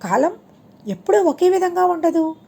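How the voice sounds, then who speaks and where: A woman's voice: very high-pitched, big pitch swings, moderate pace, vocal fry, mellow, voice pushed up, exaggerated voice. A woman voicing a wise, cautious king's minister in an audiobook tale, respectful and admonishing. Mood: cautioning. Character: wise, cautious royal minister counselling his king.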